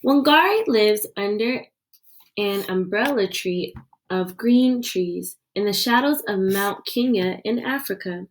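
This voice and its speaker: A woman reading a children's picture book aloud with expressive storytelling delivery. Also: medium pitch, slow pace. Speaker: read-aloud reader.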